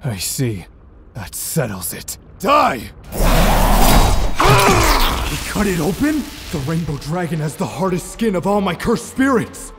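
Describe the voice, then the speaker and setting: A man's voice: fairly high pitch, sing-song, moderate pace, over music. A young man voicing an earnest, intense sorcerer in a manga dub, serious and dramatic. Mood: combative. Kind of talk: amateur anime manga dub acting.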